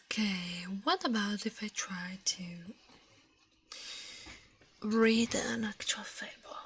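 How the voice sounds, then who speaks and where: A woman doing a soft ASMR livestream, reading fables and chatting in a gentle, hushed, breathy whisper, close to the microphone with slightly non-native phrasing. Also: mid-range voice, fairly even intonation, slow pace.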